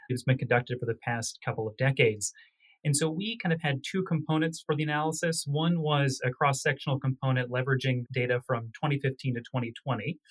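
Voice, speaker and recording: A man's voice: medium pitch, low-quality recording. A man speaking as an interview guest, explaining research in a formal, precise and articulate way. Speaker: study author, interview guest.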